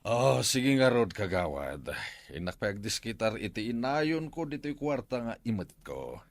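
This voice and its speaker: An actor playing a deferential village buyer haggling in a radio comedy drama, with an Ilocano accent. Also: male voice, mid-range voice, very animated, unhurried, no room sound. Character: bargaining male villager buying cattle, deferential.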